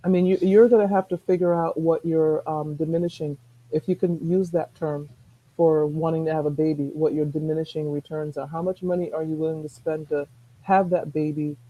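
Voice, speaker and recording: A middle-aged woman with a soft-spoken voice, talking earnestly as a guest on a video call, rambling with restarts. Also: low voice, steady tone, a little fast, phone-quality sound. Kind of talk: casual chat.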